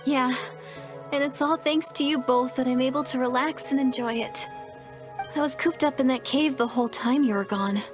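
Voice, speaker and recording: An actress playing an anxious, apologetic young woman in a video game, speaking in an earnest, hesitant and expressive way. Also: high-pitched, moderate pace, over music, lightly acted. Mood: relaxed, grateful.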